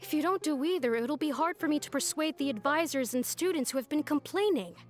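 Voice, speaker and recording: High-pitched, formal academic official. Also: female voice, lively intonation, faint music behind. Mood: insistent, weary. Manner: formal, measured, insistent. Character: stern, formal female academic official, patiently pressing. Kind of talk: video game character voice acting.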